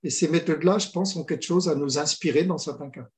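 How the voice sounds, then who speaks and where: Male guest, mellow tone, reflective and halting delivery. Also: fairly high pitch. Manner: thoughtful, halting, many restarts. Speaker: mediator, guest. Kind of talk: conversation about mediation.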